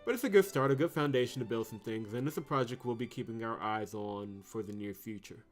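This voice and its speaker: A man with a middling voice commentates a visual novel playthrough. He alternates between reading narration and teasing the game with wry remarks, with soft music underneath. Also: mid-range voice, fairly quick. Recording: music behind the voice.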